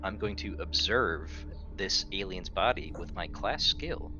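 A man's voice: low-pitched, animated, a little slow, muffled recording, noisy background. A man talking casually and wryly as a player in a tabletop game. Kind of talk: casual chat.

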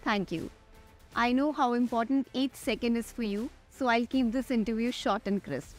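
Higher-pitched young Indian woman presenting. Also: normal speed, darkish tone. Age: young adult. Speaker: host, airline pilot. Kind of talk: scripted interview hosting and presenting. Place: large glass-walled airport tower room. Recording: music under the voice. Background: background music.

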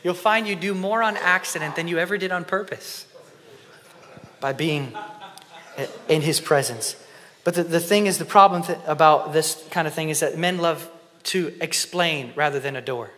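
Man in his thirties preaching from a church stage with a headset mic, bright voice, passionate, drops to whispers, hall reverb. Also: on the high side, moderate pace. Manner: impassioned, shifts between loud emphasis and hushed whispered prayer. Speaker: conference speaker. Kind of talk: sermon. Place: church stage before an audience.

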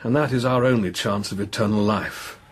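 An actor playing a troubled, earnest priest in a radio drama, hesitant and full of questions. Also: male voice, lowish voice, steady tone, normal speed, slightly rough. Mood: troubled, questioning.

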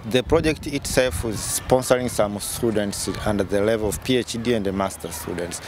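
A man's voice: fairly low pitch, moderate pace, noisy background. A man from Tanzania, a university instructor, explaining his project carefully and formally outdoors. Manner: careful, formal, repeats words. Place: outdoors on a sunny campus. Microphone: interviewer's handheld recorder.

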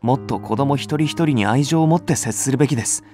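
A man's voice: mid-range voice, quick pace, a little mellow, faint music behind, lightly acted. An actor playing an earnest, idealistic young male nursery teacher in a Japanese voice drama, politely protesting. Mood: earnest objection. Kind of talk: Japanese anime-style voice drama.

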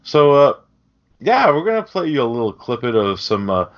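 A man's voice: mid-range voice, big pitch swings, moderate pace. A man co-hosting a podcast, chatting casually about films in an informal, sweary way. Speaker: podcast co-host. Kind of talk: casual film chat. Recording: dull, lo-fi sound.

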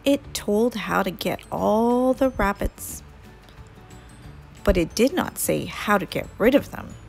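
A woman reading a children's story aloud as an expressive storyteller. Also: medium pitch, slow, vocal fry, soft background music.

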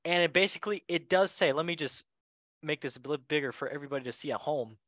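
A man hosts a casual football chat show with a bright, forward voice. He is a non-native English speaker who talks in a jokey, excitable manner. The sound is dry, with no room on it. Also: fairly high pitch, fast talker, no echo. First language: not English. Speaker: show host.

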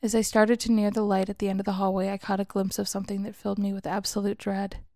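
A woman's voice: mid-range voice, completely flat delivery, fast talker, warm tone, dry recording, clean studio sound. A woman storyteller reading a scripted first-person story as narration. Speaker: storyteller, author.

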